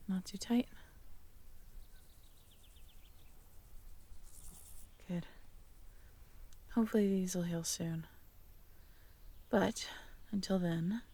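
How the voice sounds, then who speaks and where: A woman acting a caring, teasing, curious young girlfriend in an intimate roleplay audio drama, talking softly and conversationally to the listener. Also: mid-range voice, vocal fry, dry recording. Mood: gentle concern. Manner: soft, intimate, conversational, playful.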